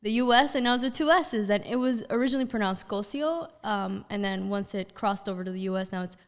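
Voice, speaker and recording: Smooth-voiced woman with a Miami accent. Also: mid-range voice, fast, in a large hall. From Miami, Florida. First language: Spanish. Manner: relaxed, laughs easily, fillers like "you know". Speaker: podcast guest, stuntwoman. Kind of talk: casual interview chat.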